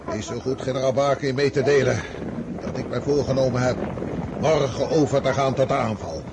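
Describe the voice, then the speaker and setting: Radio drama commander-in-chief, male actor, proud and stubborn, haughty deliberate delivery, mid-pitched, heavy battlefield noise behind. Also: a loud crowd, close to an everyday voice. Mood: commanding.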